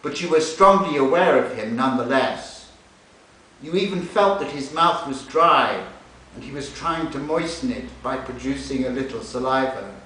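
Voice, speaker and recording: A man's voice: mid-range voice, animated, moderate pace, pushed and bright. A man speaking at a live literary reading, recorded from a distance in a library room. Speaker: literary translator reading aloud. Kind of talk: introducing and reading a novel extract at a live reading. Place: indoor library event room. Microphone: distant recorder away from the speaker. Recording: echoey, hissy library room recorded from far off.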